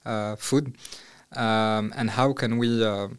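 Hesitant man from Morocco, smooth voice. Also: lowish voice, fairly even intonation, slow. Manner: hesitant, many fillers and restarts. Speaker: startup founder guest. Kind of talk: casual interview answers.

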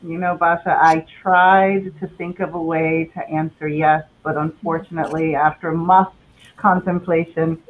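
A woman in her forties on a podcast panel, speaking in a thoughtful, measured way. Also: low voice, flat delivery, a little hiss or hum. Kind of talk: casual panel discussion. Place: remote from home, New York. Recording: tinny phone-like remote line.

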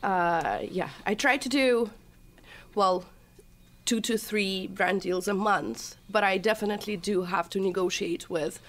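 A woman's voice: medium pitch, unhurried. A young adult woman from Russia with a Russian accent, chatting as a podcast guest and telling her story with quoted dialogue. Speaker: guest, TikTok creator. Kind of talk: casual interview chat.

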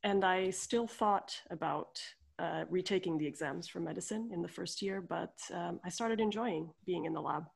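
A woman's voice: fairly low pitch, moderate pace, creaky, husky. A woman in her thirties from Portugal, speaking as an interview guest in a friendly, thoughtful way with frequent restarts. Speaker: guest, research scientist. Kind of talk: casual interview chat.

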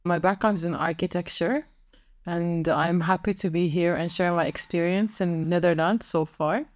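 A young woman from Sudan, a podcast guest explaining her moves abroad in a thoughtful, unhurried way. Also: fairly low pitch, steady tone, no echo, clean studio sound. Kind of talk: casual interview chat.

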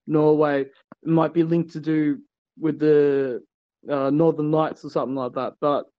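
A man speaking hesitantly, full of "like" and "uh". His voice sits a little high. The recording is dry and muffled, with no room sound. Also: dry recording. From Australia. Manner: hesitant, many fillers. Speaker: guest. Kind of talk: casual call-in interview.

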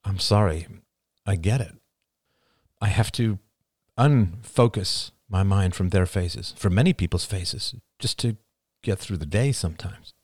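A man voicing a calm adult son who answers in a low, measured, reluctant way in an audiobook. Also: dry recording, studio quality. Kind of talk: audiobook character voice.

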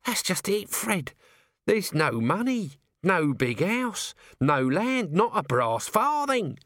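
A man's voice: high voice, sing-song, slow, fairly bright tone, no echo, studio quality, pitched above the performer's own voice, full character voice. A man voicing a shabby, self-pitying corporal of the watch in an audiobook, moaning glumly. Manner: moaning, glum, plaintive. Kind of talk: audiobook character acting.

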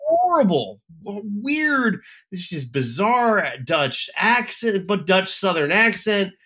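A man's voice: sits high, big pitch swings, takes it slowly, sharp tone. A man co-hosting a casual film review chat, giving blunt and emphatic opinions. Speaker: film critic co-host.

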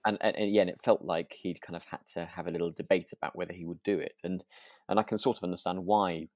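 A man with a low voice, recorded dry with little room sound. He co-hosts a relaxed conversation, feeling his way through sentences haltingly and sounding earnest. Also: no echo. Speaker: podcast co-host. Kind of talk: relaxed casual chat about a radio drama.

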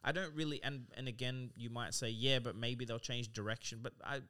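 A man's voice: mid-range voice, steady tone, brisk, sharp tone. A man co-hosting a podcast in casual chat, candid, laughing mid-sentence and swearing casually. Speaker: podcast co-host. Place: studio room, in person.

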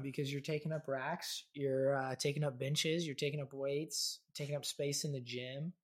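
A man in his twenties from Kansas, hosting a casual podcast chat in a loose, conversational way with many fillers. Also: medium pitch. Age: 20s. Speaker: podcast host.

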